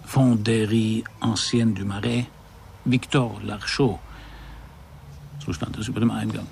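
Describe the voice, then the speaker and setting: A dry wry detective narrating a radio crime play, gravelly voice, unruffled, bit of noise behind. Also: male voice, lowish voice, steady tone, a little slow, a little mellow. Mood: wry, observant. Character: male private detective, wry, unruffled, observant first-person narrator. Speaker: narrator and lead actor.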